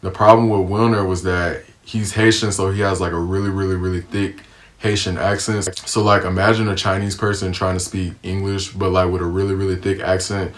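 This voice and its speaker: A young man from the United States talks through a casual storytime for his vlog. His voice sits low, and his delivery stays flat and even. He is laid-back and slangy, with plenty of ums along the way. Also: brisk. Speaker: vlogger.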